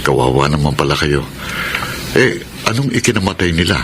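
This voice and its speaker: A man's voice: extremely low pitch, big pitch swings, a little fast, background noise, full character voice. A man playing a wealthy, kindly widowed father in middle age in a Filipino radio drama. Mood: concerned. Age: middle-aged.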